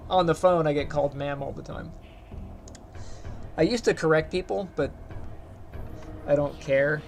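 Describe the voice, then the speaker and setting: A man with a light, higher-pitched voice, casually rambling to his chat as he plays a game and streams. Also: a little slow, gravelly, long pauses, a little hiss or hum. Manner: rambling, chatty, talks to chat while playing. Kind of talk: casual gameplay commentary.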